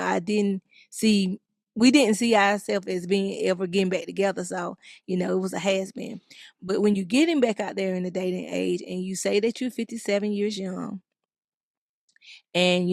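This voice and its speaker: A woman's voice: medium pitch, vocal fry, dry recording, clean studio sound. A woman, co-hosting a podcast and giving chatty, rambling advice full of "you know"s. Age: 40s. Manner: chatty, drawn-out phrasing with many "you know"s. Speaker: podcast co-host. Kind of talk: casual advice chat, reading a listener letter.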